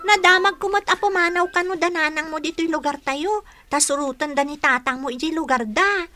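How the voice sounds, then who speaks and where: Gossipy little girl, childlike, very high, bright, inquiring, radio drama. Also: fairly quick, soft background music. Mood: inquisitive.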